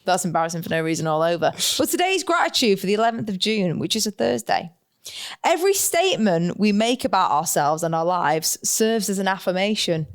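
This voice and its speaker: A woman co-hosting a casual, comedic podcast from a flat, jokey and effusive, swearing casually. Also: mid-range voice, a little fast. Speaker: podcast co-host.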